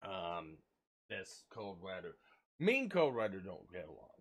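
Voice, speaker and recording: A man's voice: low voice, sing-song, measured pace, husky. A man streaming live, chatting casually while sick with a cold and cough, rambling with many fillers and the odd swear word. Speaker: livestreamer. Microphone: desk microphone.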